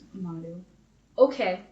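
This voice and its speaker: Woman podcaster, slow casual chat, sweary, sounds distant and kinda muffled. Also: medium pitch, lively intonation. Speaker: podcast co-host. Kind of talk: casual chat and dramatic reading of old arguments. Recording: dull, echoey, far from the mic.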